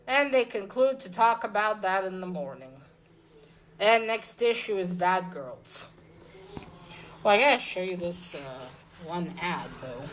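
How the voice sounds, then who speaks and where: A woman's voice: on the low side, poor audio. A woman giving a casual, unscripted comic book review, rambling and hesitant, correcting herself as she goes, with blunt informal language.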